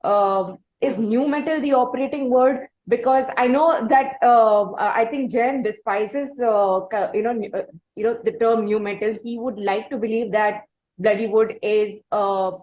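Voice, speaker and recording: A woman with an Indian accent hosting a music interview, asking long, halting questions that she rephrases as she goes. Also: a little high, darkish tone, highs cut off. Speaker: interviewer, podcast host.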